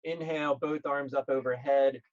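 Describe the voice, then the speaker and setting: A man leading a guided yoga class, speaking gently and calmly in short, measured phrases with pauses. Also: medium pitch, highs cut off. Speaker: yoga instructor.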